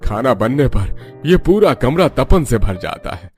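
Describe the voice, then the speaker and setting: A man playing a gentle, weary older village father-in-law in a cartoon, fatherly and homely. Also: mid-range voice, big pitch swings, a little slow, faint music behind. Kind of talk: Hindi cartoon story dubbing.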